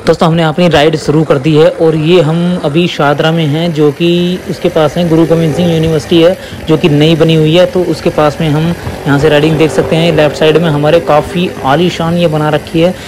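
Young man, motorbike vlogger, steady monotone delivery, light traffic hum behind. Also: on the high side, fairly quick. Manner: chatty running commentary on the road. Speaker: motovlogger. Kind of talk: casual narration while riding a motorbike. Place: on a motorbike on city roads outdoors. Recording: faint traffic noise behind. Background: traffic and engine sounds.

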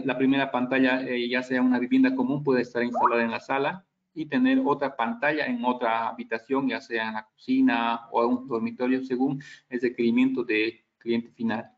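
Man presenting, dull lo-fi audio. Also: mid-range voice. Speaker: product trainer giving a webinar. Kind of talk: technical slide presentation with audience questions.

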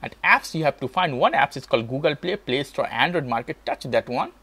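A man's voice: mid-range voice, lively intonation, a little fast, fairly bright tone, slightly rough. A man hosting a step-by-step tech tutorial in short, simple phrases, with non-native English phrasing. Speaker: tutorial host.

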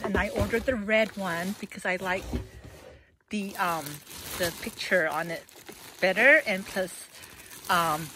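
A woman vlogging casually at home, with a hoarse voice, rambling through an unboxing with lots of fillers and restarts. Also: mid-range voice, background noise.

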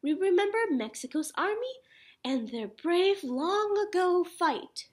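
A young woman teacher reading a children's picture book aloud in an animated, instructive way. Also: very high voice, unhurried. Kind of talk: children's picture-book read-aloud with sing-song refrains. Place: small indoor classroom.

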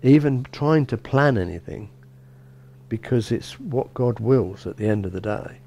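A middle-aged man, a bishop, gives a relaxed sermon in a small church, talking conversationally with frequent restarts and "you know". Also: mid-range voice, a little slow, vocal fry, a little hiss or hum. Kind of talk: informal sermon.

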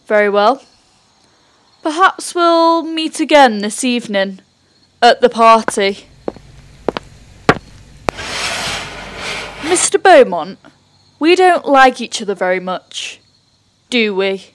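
A woman acts out a nosy, well-mannered party guest in a period radio mystery. The voice is fairly high and unhurried, with polite, probing phrasing. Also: animated. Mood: cool, polite. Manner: polite, clipped, inquisitive, upper-class phrasing. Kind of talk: 1930s-set radio play acting.

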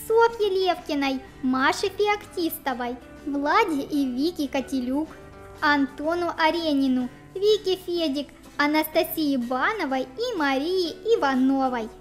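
High-pitched childlike voice over music. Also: lively intonation, unhurried. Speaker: host of a children's gaming channel. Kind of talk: children's let's play commentary with shout-outs. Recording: music bed under the voice. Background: background music.

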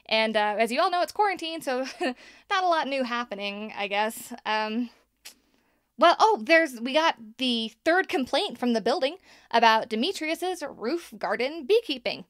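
A woman's voice: fairly high pitch, lively intonation, moderate pace, a bit bright, clean tone, no echo, studio quality. An actress playing a nervous young woman who hosts a podcast, rambling and laughing as she talks. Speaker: podcast host. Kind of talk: audio drama acting.

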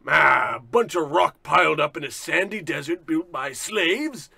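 A man's voice: on the high side, lively intonation, a little slow, vocal fry, sharp tone, exaggerated voice. A man playing a pompous, boastful tycoon and bullying boss in a bombastic old-radio parody style. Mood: boastful, scornful. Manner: bombastic, self-important.

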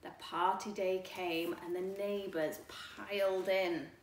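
Slow, expressive woman reading a storybook. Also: lowish voice. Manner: expressive, deliberate phrasing. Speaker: storytime reader. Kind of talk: children's read-aloud, rhyming storybook narration. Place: living room, indoors. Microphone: camera a few feet away. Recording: echoey room, distant mic, faint noise.

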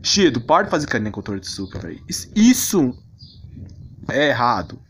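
A man's voice: mid-range voice, big pitch swings, a little fast, fairly bright tone. A Brazilian man in a casual, rambling, mock-outraged rant of commentary, full of slang. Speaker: video creator. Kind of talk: casual ranting commentary on anime characters. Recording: noisy home recording with clicks.

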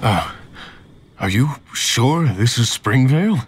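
A man's voice: mid-range voice, lively intonation, slow, background noise. A man voicing a bewildered, earnest hunter from a bygone age in a video game. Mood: confused. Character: bewildered, earnest male hunter from long ago. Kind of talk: video game character acting.

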